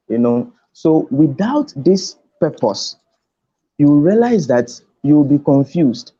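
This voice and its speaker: Casting: Ghanaian man, mellow voice and slow delivery, emphatic motivational conference speaker who repeats himself for weight. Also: medium pitch, husky. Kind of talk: motivational talk on a video conference. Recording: dull lo-fi online call audio.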